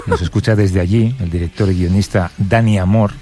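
A man's voice: low-pitched, fairly even intonation, fairly quick, dark tone. A man hosting a radio show, asking questions in a teasing, playful way. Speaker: radio host. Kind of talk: casual radio chat.

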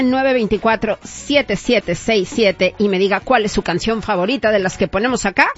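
A woman's voice: fairly high pitch, fairly bright tone. A woman radio DJ hosting a live call-in giveaway, chatting upbeat and playful with listeners. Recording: slightly dull radio broadcast with faint music.

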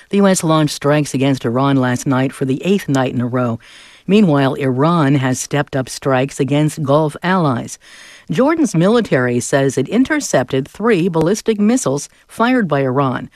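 A woman newscaster reading a national news bulletin with a steady, measured delivery. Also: deep voice, no echo.

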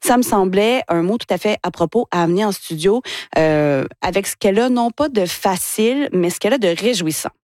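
A woman's voice: medium pitch, expressive, fairly quick, a little mellow, no echo, studio quality. Thoughtful woman in her forties. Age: 40s. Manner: thoughtful, hesitant with many fillers. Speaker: radio columnist, author and poet. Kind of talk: radio chronicle on a word. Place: radio studio.

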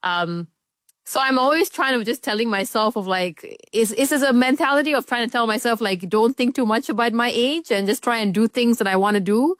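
A woman's voice: a little high, brisk, dry recording. A woman of thirty-three in a casual chat, speaking in a thoughtful, earnest way with light laughter. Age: 30s. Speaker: head of news.

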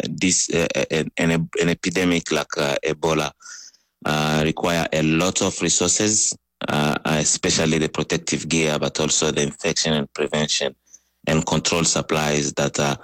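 A male doctor giving a remote news interview, speaking haltingly with many pauses. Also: a bass voice, a bit bright, dry recording. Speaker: doctor with an aid group, interviewee. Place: remote link.

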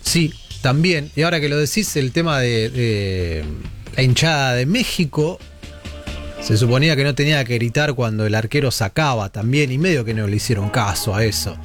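Rambling Argentine radio host over music. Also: male voice, mid-range voice, lively intonation, moderate pace. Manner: informal, rambling. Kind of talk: casual late-night chat with listeners. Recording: music playing behind.

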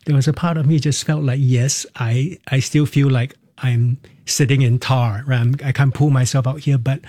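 A middle-aged man, a Cantonese speaker, reflecting on personal experience in a halting, candid way as an interview guest. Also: mid-range voice, fairly even intonation, dark tone, no room sound, clean studio sound. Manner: halting, candid, tags phrases with "right" and "you know". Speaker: interview guest, performer and counselor.

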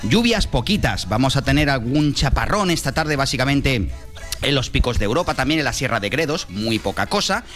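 Meteorólogo de radio con voz media. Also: male voice, mid-range voice, very animated, fairly quick, a bit bright, soft background music. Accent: Spanish from Spain. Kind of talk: conversational weather forecast.

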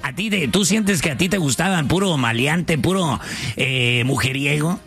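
A man's voice: a little high, normal speed, fairly bright tone, faint music behind. A man, a radio co-host in a studio booth, asking the guest direct questions.

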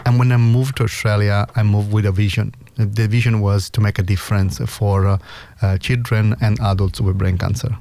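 A middle-aged man from Sicily with a strong Italian accent, a guest on an interview who talks haltingly with many fillers and restarts. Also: fairly low pitch, level tone, dark tone. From Sicily, Italy. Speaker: guest, cancer researcher. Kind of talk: casual interview chat.

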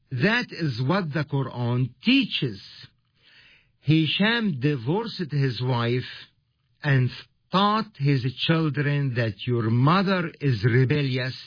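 A man preaches on the radio in a slightly raised, husky voice that swoops up and down with feeling. He takes his time, stressing words earnestly, and the sound is dry and a little dull, like a lo-fi broadcast. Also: a little high, no room sound. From Egypt. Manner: slow, emphatic, earnest preaching. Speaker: radio ministry host.

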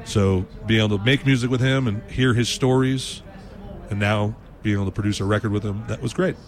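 Relaxed low-voiced musician. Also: male voice, fairly quick. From New England. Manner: relaxed, rambling storyteller. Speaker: blues musician. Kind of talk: casual interview answers.

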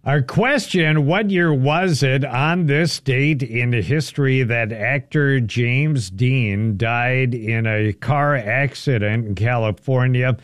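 A man's voice: mid-range voice, slow, few pauses, dry recording, clean studio sound. A man hosting a local radio show, chatting easily and running a call-in trivia game. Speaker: radio host. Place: radio studio.

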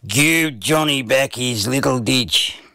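A man voicing a stern father in a children's story, speaking quietly and deliberately. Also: mid-range voice, no room sound, clean studio sound. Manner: slow, stern, measured.

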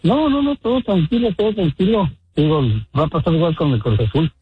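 A Mexican man phoning in to a sports show, his slightly high voice husky and rambling with lots of halts and restarts. The line sounds muffled and dull. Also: big pitch swings, no room sound. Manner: rambling, halting, laughs often. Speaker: caller. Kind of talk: chatty phone-in about football.

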